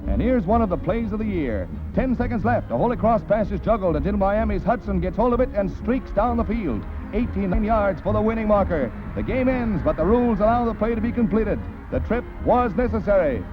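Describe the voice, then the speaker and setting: A man's voice: high voice, sing-song, a little fast, few pauses, muffled recording. An American man narrating a 1940s newsreel with a jaunty, wisecracking, punchy showman's delivery, on a vintage soundtrack. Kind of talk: 1940s newsreel commentary, scripted. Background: background music.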